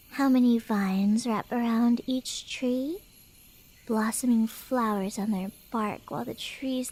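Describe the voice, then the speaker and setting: Woman playing a high-voiced, teasing Cheshire cat girl. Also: darkish tone, rough recording.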